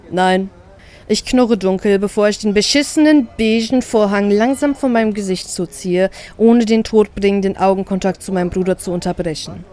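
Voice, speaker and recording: A woman reading a first-person story aloud as an expressive narrator. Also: medium pitch.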